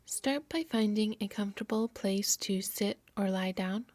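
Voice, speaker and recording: A woman yoga nidra instructor softly narrating a guided meditation in a soothing, measured, breathy voice. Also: mid-range voice, no echo. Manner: soft, soothing, measured delivery.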